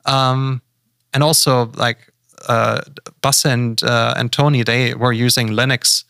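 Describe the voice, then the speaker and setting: A man talking casually on a podcast, halting often with fillers and restarts. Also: mid-range voice, steady tone, gravelly, pushed and bright, dry recording, clean studio sound. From Germany. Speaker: podcast guest.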